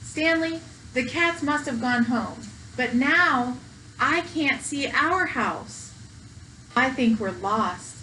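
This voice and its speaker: Woman voicing a cautious, worried basset hound, bright-toned. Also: lively intonation, takes it slowly, a little hiss or hum, heavily acted, low-quality recording. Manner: cautious, fretful.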